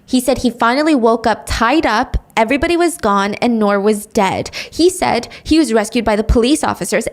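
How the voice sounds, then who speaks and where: Animated woman podcast host, sarcastic. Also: on the high side. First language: English. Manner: sarcastic, animated retelling with quoted voices. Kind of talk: true crime storytelling chat.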